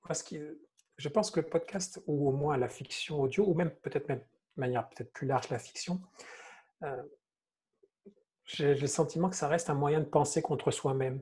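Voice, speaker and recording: A man's voice: on the high side, a little mellow. A man who writes audio fiction, reflecting casually in a live online talk, hesitating and repeating words as he works out his thought.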